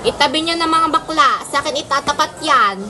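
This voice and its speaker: A young Filipino woman playing a vain, sassy, flamboyant high school student in an amateur radio drama, campy and slangy. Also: a little fast, bright, forceful tone, slight background noise. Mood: vain, playful. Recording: crowd chatter behind the voice.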